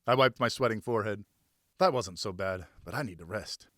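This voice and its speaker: A man's voice: fairly low pitch, brisk, a bit bright, dry recording, clean studio sound. A man narrating a first-person story as an audiobook reader, in a scripted, dramatic storytelling style.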